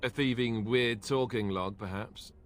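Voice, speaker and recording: A man's voice: mid-range voice, a little slow, soft background music, close to an everyday voice. A man voicing a wry young warrior with dry, sarcastic humor in a video game.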